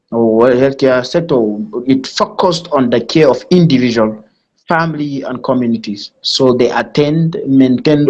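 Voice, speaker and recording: A man from Nigeria with a Nigerian accent speaks formally as a guest presenter on a live online talk, explaining things with emphatic repetition. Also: medium pitch. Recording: dull lo-fi online call audio.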